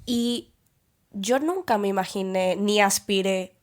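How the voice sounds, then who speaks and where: A young woman from Venezuela with a Venezuelan Spanish accent, talking through her thoughts in a relaxed podcast chat, with pauses and restarts. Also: medium pitch. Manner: reflective, thinks aloud with fillers and restarts. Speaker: podcast guest. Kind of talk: casual conversation.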